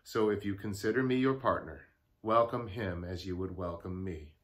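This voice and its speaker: A man in his sixties, a pastor giving a daily devotional to camera in a study, speaking earnestly with pauses. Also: sits low, steady tone. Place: home study with bookshelves. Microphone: lapel mic.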